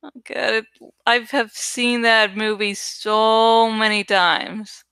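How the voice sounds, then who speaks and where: A woman rambles casually as she works, thinking out loud with plenty of swearing. Her voice is bright and smooth, recorded close and dry. She sometimes stalls to hunt for the right word. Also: a little high, fairly even intonation, slow, no room sound. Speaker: online creator and host. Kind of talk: casual rambling chat while working on a graphic.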